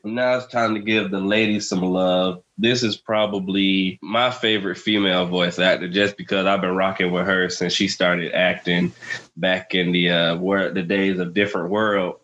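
A man's voice: deep voice, flat delivery, normal speed, a bit bright. A man hosting a casual podcast chat, slangy, profane and encouraging, on slightly lo-fi audio. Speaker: podcast host. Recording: slightly dull, lo-fi sound.

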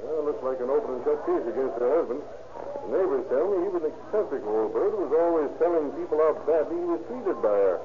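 Matter-of-fact police detective, male actor, 1930s radio style, somewhat high pitch, clipped manner, phone-like hissy recording. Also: animated, fast talker, lightly acted.

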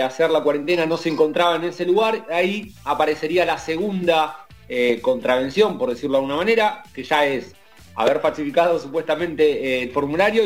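Argentine man, host, higher-pitched, soft music behind, narrates with stammers. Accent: Argentine Spanish. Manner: narrating events, stammers at times. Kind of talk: casual sports talk.

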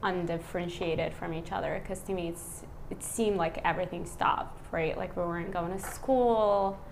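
A woman in her thirties from Iraq, a physician speaking as a podcast guest. She talks in a relaxed, reflective way, with many "um" and "like" fillers. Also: lowish voice, moderate pace, creaky, a little hiss or hum, poor audio. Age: 30s. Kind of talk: casual conversational interview.

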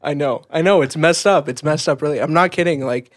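A man's voice: a little high, a little fast, dry recording, studio quality. A man co-hosting a podcast, chatting casually and candidly with a wry, self-deprecating manner. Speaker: podcast co-host.

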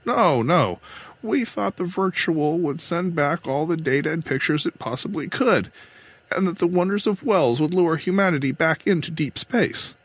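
An older woman starship captain acted by a male reader speaks in an audiobook story. The voice is raised in pitch, weary but defiant and idealistic, in a dry recording. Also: expressive, normal speed, husky, no room sound. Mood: fervent, defiant. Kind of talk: audiobook character dialogue.

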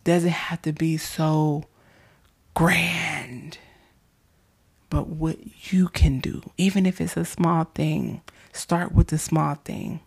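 A woman podcast host who is losing her voice, giving gentle faith-based encouragement in a hoarse, soft-spoken way that often drops into whispers. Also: deep voice, fairly even intonation, takes it slowly. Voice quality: hoarse, gravelly.

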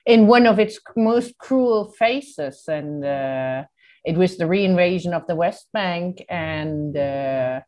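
A woman from Italy with an Italian accent, giving reflective interview answers in a halting way, searching for words. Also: sits low, darkish tone. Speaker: guest, human rights campaigner.

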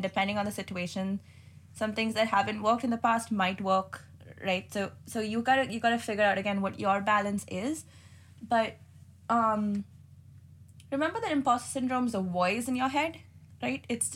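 A young woman shares advice in a relaxed, unscripted way. Her voice sits a little high and has a husky edge. She laughs at herself and restarts her sentences often. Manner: candid, self-mocking, with many fillers and restarts. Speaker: guest. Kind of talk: casual advice chat.

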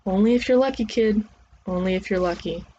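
A woman voicing a sly, blunt older male officer, a gruffly teasing mentor in an audiobook. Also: medium pitch, fairly quick, warm tone, highs cut off, slight background noise, lightly acted. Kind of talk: acted audiobook dialogue.

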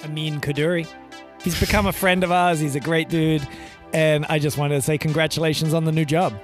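A man's voice: fairly high pitch, flat delivery, brisk, soft background music. A man from Britain co-hosting a podcast in casual chat, blunt and swearing freely. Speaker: podcast co-host.

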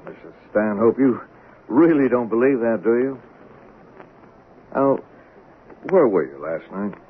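A man's voice: mid-range voice, animated, unhurried, lightly acted. A man playing an insistent, skeptical American police sergeant in a radio drama, formally polite as he probes. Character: persistent, skeptical male police sergeant questioning a suspect. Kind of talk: 1970s radio drama acting. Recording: tinny, band-limited old radio broadcast with hiss.

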